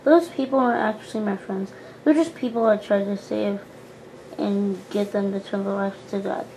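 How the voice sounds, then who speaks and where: A woman's voice: mid-range voice, steady tone, normal speed, mellow, slight background noise, natural voice, low-quality recording. A young woman voicing a prim, churchy teenage girl doll who talks in an earnest, boastful way. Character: prim pious teen girl doll, goody-two-shoes.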